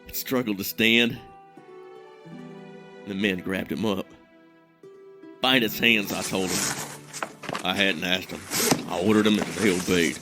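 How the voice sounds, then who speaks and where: An actor playing an ashamed, grieving man who tells his tale with self-loathing and dramatic pauses. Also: low voice, steady tone, music in the background. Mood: grim recounting. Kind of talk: dramatic fantasy monologue in audio drama.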